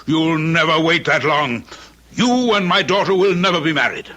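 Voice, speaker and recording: A stern, self-important Victorian gentleman in a radio play. His voice is fairly high and extremely sharp, with stiff, formal phrasing. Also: normal speed. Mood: outraged. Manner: pompous, formal. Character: pompous, stern Victorian gentleman and indignant father. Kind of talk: old-time radio drama acting.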